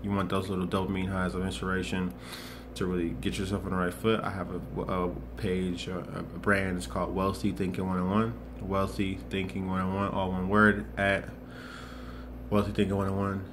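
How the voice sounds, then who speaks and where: A man's voice: low-pitched, monotone, moderate pace, background noise. A man in his twenties talking earnestly in a rambling motivational vlog, restarting and repeating himself often. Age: 20s. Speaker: motivational vlogger. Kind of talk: casual motivational monologue.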